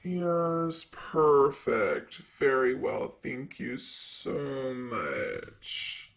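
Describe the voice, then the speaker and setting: A man's voice: mid-range voice, wildly swooping pitch, extremely slow, fairly bright tone, exaggerated voice. A man playing a sassy, vain, flirty ancient demon secretary in an improvised ASMR roleplay, with a teasing, casual manner. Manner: teasing, casual, drawling asides.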